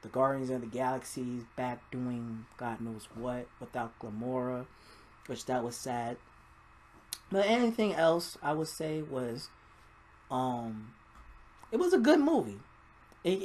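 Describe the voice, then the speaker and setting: A man's voice: medium pitch, slight background noise, rough recording. A man giving a casual, rambling movie review in an informal, mildly profane vlog style. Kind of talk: casual spoiler-filled film review vlog.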